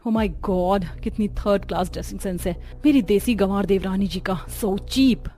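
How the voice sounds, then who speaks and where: A woman's voice: medium pitch, normal speed, dark tone, a little hiss or hum, close to an everyday voice. A woman voicing a snobbish young modern daughter-in-law in a cartoon, sassy and peppering her Hindi with English. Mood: scornful.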